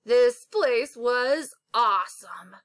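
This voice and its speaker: A woman's voice: fairly high pitch, expressive, extremely slow, no echo, studio quality. A woman narrating a children's novel aloud as an elementary school teacher.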